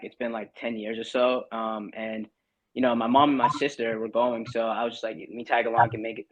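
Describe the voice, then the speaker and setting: A man's voice: fairly low pitch, muffled recording. A young man from Barbados, a podcast guest, chatting casually and loosely with lots of fillers and restarts. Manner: relaxed, rambling with many fillers. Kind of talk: casual chat.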